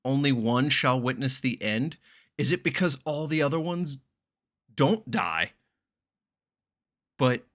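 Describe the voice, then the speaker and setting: A man doing casual reaction commentary on a story game, excitable and sweary as he thinks aloud. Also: medium pitch.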